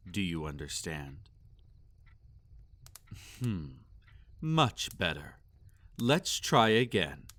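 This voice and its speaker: A man playing a sadistic, possessive vampire master in a roleplay audio, speaking formally and condescendingly with silky menace and drawn-out commands. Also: low voice, fairly bright tone, no echo, heavily acted. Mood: smug, commanding. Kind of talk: roleplay audio acting, intimate and theatrical.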